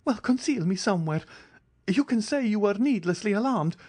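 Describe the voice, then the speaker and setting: A man's voice: sits high, expressive, normal speed, a little mellow, pitched above the performer's own voice. A man voicing a young male fugitive who pleads desperately and later mocks with insolence. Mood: pleading desperately.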